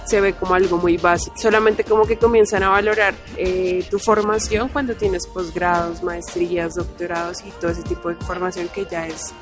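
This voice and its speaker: A woman's voice: fairly low pitch, fairly even intonation, moderate pace, few pauses, over music. A young woman student with a Colombian accent giving a spontaneous interview answer. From Bucaramanga, Colombia. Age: young adult.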